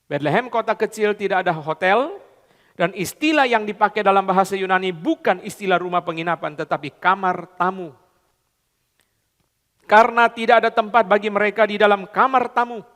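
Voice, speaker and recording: A man delivers a sermon in a high, pitched-up voice. He swings from loud, emphatic lines to quiet, confiding asides. His speech is full of English phrases and street slang, and it echoes with the long tail of a large church hall. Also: brisk. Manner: repeats "saudara" constantly, mixes in English phrases and colloquial Jakarta slang. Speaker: pastor.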